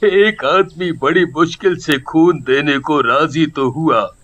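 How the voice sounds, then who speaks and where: A man voices a shopkeeper in a cartoon moral tale, weighed down with sorrow and regret. He talks slowly and heavily, measuring out each phrase with grave sincerity. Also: a little high, a bit bright, voice pushed down. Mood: grieving. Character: remorseful, grieving male shopkeeper, grateful boss. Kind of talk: Hindi moral story cartoon acting.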